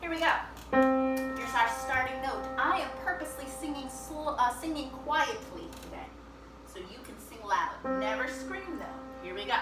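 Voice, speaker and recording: A woman hosting an interactive musical storytime for children, talking in an animated, encouraging and playful way. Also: sits high, normal speed, over loud music, poor audio. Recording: clear background noise.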